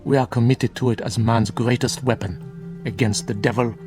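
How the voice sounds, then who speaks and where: An actor playing a grave, fervent older monk in a radio drama, speaking in formal, measured, preachy phrases. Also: male voice, medium pitch, fairly even intonation, a little mellow, faint music behind, close to an everyday voice. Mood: grave, assured. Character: solemn bearded older monk, fervent guardian of truth. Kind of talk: classic radio drama acting.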